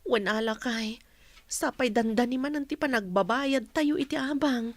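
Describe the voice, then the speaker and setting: A woman playing a poor, patient young wife and mother in a radio drama, gentle and deferential. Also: fairly high pitch, normal speed, no room sound, close to an everyday voice. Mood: worried. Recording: music under the voice.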